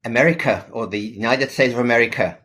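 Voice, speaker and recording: A man's voice: medium pitch, fast, a bit bright. Man, thoughtful podcast guest. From South Africa. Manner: thoughtful, hesitant with fillers. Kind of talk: podcast interview.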